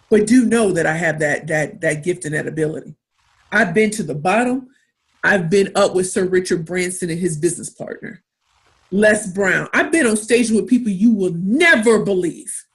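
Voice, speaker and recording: A woman from St. Louis, Missouri, with her accent clearly audible, gives a motivational workshop talk on pitching. She drives each point home by repeating short, punchy phrases. Her voice sits at an ordinary middle pitch. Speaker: pitch coach.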